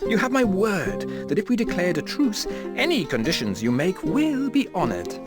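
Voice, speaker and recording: A man's voice: high-pitched, big pitch swings, moderate pace. A man voicing a scheming snake-like lord in a video game, haughty, oily and wheedling. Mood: oily pleading. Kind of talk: video game villain acting. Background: guitar music.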